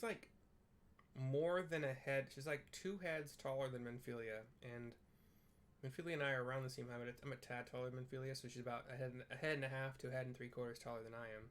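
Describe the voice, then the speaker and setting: A man's voice: medium pitch, fairly even intonation, brisk. A man giving casual running commentary on a video game, chatty and given to rambling asides. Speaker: gamer, let's player. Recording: faint noise, room ambience.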